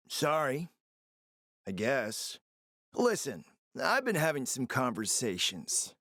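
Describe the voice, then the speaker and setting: A man's voice: medium pitch, no echo, clean studio sound. An actor playing a scheming, foul-mouthed man in a post-apocalyptic video game, confident and calculating, with an emphatic, sardonic delivery. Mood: casual, concerned. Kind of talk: dramatic video game dialogue.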